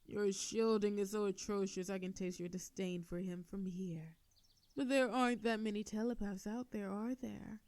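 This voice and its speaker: A woman's voice: medium pitch, steady tone, darkish tone, lightly acted. A woman playing a sardonic telepathic supervillain in a scripted audio drama, with a theatrical, teasing way of drawing out her words. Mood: mocking, menacing. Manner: theatrical, taunting, drawn-out words. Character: sardonic female telepathic supervillain, menacing yet softening.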